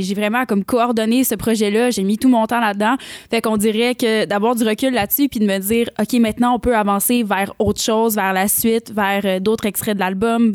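A young woman in her twenties from Quebec, speaking with a Quebec French accent as a singer-songwriter guest in a casual interview, animated and laughing often. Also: medium pitch, no room sound. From Quebec, Canada. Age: 20s. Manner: animated, laughs often, many fillers and restarts.

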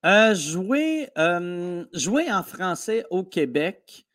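A man with a Québécois French accent tells stories in a casual podcast chat. His voice sits a bit high, and he talks in animated bursts full of restarts and asides. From Quebec. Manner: animated storytelling, fast with restarts. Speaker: comedian guest.

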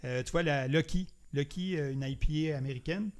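Halting man, slightly high voice. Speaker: podcast co-host. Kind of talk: casual chat about craft beer.

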